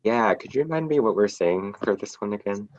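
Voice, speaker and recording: A man's voice: lowish voice, lively intonation, fairly quick, a little mellow. A man, an actor, introducing himself casually over a dull lo-fi microphone.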